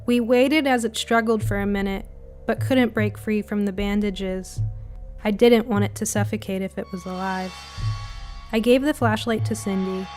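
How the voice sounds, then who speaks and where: A woman reading a scripted first-person spooky short story as its narrator. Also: medium pitch.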